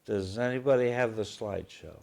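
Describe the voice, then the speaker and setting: A man hosting a casual interview, halting with many fillers and dry asides. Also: on the low side, vocal fry, a little mellow, slight background noise. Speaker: host. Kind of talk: casual radio-style interview.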